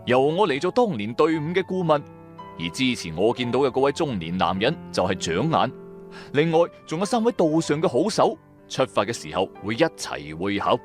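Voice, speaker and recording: Radio drama lead: male actor as wry, streetwise businessman narrator, Cantonese, colloquial and highly expressive over soft music. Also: moderate pace, natural voice. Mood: storytelling. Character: streetwise, wry businessman turned tomb raider. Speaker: narrator and main character of an audio drama.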